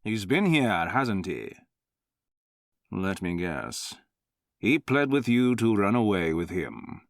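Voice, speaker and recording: Scheming prince villain for a visual novel: unhurried, measured, sneering menace, close dry sound. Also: male voice, fairly low pitch, very animated, dry recording, heavily acted. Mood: mocking, accusing. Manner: measured, mocking, threatening. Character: scheming, arrogant young noble prince, menacing nephew. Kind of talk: dramatic visual novel acting.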